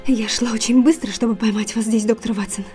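An actress playing an anxious, secretive young gentlewoman in an audio drama, guarded and earnest. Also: a little high, steady tone, a little fast, faint music behind, lightly acted. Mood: anxious, evasive.

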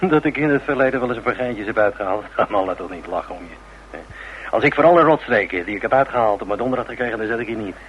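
An actor as a gruff, low-voiced cynical musician. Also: male voice, animated, fast, lightly acted. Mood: dismissive. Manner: gruff, slangy, dismissive. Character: brash, cynical male band musician with rotten reputation. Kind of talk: Dutch radio drama acting. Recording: muffled, noisy old radio drama recording.

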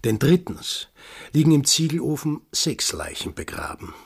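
An actor playing a bitter, stubborn accuser, insistent and sardonic, in a radio drama. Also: male voice, fairly high pitch, sing-song, normal speed, vocal fry, slightly rough, heavily acted. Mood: sardonic, accusing. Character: bitter, stubborn man accusing a village of murder.

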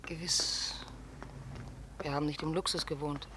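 An actress playing a grief-stricken, self-blaming poor mother in a radio drama monologue, breathy, halting and subdued. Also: deep voice, steady tone, background noise. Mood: sorrowful, self-blaming.

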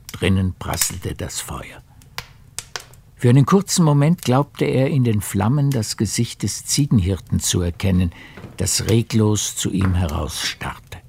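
Male narrator, low creaky voice, measured literary storytelling, radio play. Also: a little mellow, a little hiss or hum.

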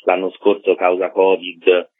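A man's voice: low voice, monotone, measured pace. A man speaking as a festival director in an informative radio interview. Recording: tinny narrow phone line on radio.

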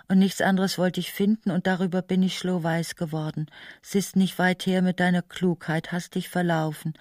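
A grieving woman revolutionary in prison, played by an actress, speaking to her lost lover. Her delivery is intimate and anguished, sometimes slipping into breathy whispers, in a literary radio play with a dry, close sound. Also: lowish voice, darkish tone, no room sound, lightly acted. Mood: wistful. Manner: intimate, anguished inner monologue. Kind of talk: literary radio drama acting.

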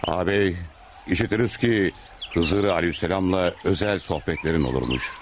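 A man's voice: deep voice, a little fast, noisy background, lightly acted. An actor playing a devout, dignified Ottoman sultan with formal, stately phrasing in radio theater. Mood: reverent, curious. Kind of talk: Turkish radio theater acting. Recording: radio drama with crowd and birdsong.

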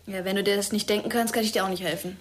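A woman's voice: medium pitch, steady tone, rapid-fire, slight background noise, lightly acted. A young woman playing a tough, defiant, sardonic teenage schoolgirl in a naturalistic radio drama, talking bluntly and colloquially. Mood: defensive, curt. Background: music.

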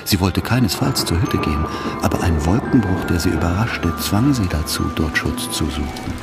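A man narrates a literary radio drama in scripted prose. His voice is very deep, and loud music plays underneath it. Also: lively intonation, normal speed.

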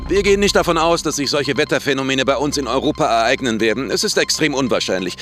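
An actor playing a calm, reassuring male official reading a formal statement in a radio drama. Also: medium pitch, quick pace, loud music behind the voice, natural voice.

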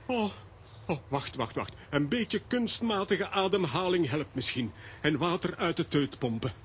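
An actor playing an excitable, sentimental grown man in a children's radio play, exclaiming and sighing melodramatically. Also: a little high, a little slow, a little hiss or hum. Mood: grieving. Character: excitable, sentimental, dramatic grown man, comic-strip hero.

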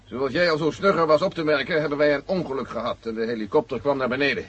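Husky, formal army general. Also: male voice, medium pitch, fast, fairly bright tone. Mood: gracious, condescending. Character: haughty, composed army general, curt and condescending. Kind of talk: 1970 radio play acting.

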